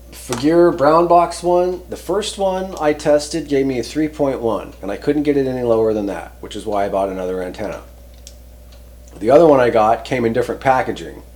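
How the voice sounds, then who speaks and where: A man's voice: medium pitch, a little mellow. A man hosting a hobby video in a casual, rambling, hesitant way with dry, understated humor, walking through a product test. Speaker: host of a CB radio hobby channel.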